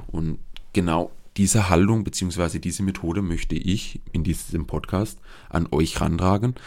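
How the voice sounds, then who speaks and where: A young man with a regional dialect speaks in a very low, gravelly voice. He talks earnestly through his own podcast alone, often pausing and restarting as he finds his words. The delivery is plain, steady monologue. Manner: earnest and searching, with frequent hesitations and restarts. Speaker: podcast host, social worker.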